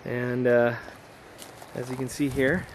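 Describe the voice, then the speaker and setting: A man in a casual hands-on demonstration, talking in an unhurried, explanatory way as an amateur radio operator outdoors. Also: medium pitch, little pitch movement. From United States. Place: outdoors on a mountainside. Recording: noisy outdoor camera recording. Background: outdoor ambient noise.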